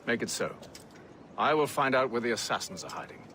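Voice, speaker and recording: An actor playing a stern, decisive leader in a video game, measured and authoritative. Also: male voice, medium pitch, animated, bright, forceful tone, a little hiss or hum. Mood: resolute. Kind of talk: video game cutscene acting.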